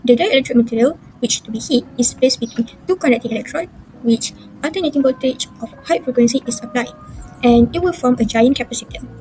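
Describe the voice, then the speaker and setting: A woman's voice: on the high side, quick pace, dark tone, soft background music, poor audio. A young woman reading a scripted class presentation as a student.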